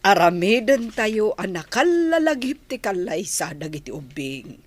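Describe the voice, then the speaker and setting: A woman acting an older grandmother who is arranging her grandson's wedding, speaking in a measured, earnest way in a radio comedy drama. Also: medium pitch, big pitch swings.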